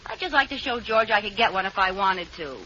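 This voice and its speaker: A bubbly young housewife in an old radio sitcom, coaxing her way toward a stage part. Her voice is bright and sits fairly high, swinging widely up and down. The old transcription sounds dull, with faint hiss underneath. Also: animated. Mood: chatty. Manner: bubbly, coaxing, quick with a comeback. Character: scheming, spirited young housewife set on acting. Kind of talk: 1940s radio comedy acting.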